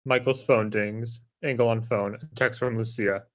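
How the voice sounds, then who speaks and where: A man's voice: mid-range voice, steady tone, a little slow, a bit bright. A man reading out stage directions in a scripted narration for a screenplay table read.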